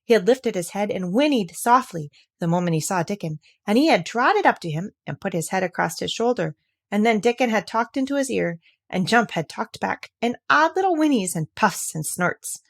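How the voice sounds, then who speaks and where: A woman's voice: mid-range voice, animated, moderate pace, gravelly. A woman reading a classic children's novel aloud as scripted storybook narration. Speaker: audiobook reader.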